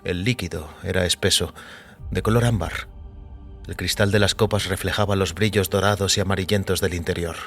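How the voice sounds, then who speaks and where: A man narrating scripted audio fiction in a measured, literary delivery. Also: low-pitched, fairly even intonation, vocal fry, a bit bright, soft background music.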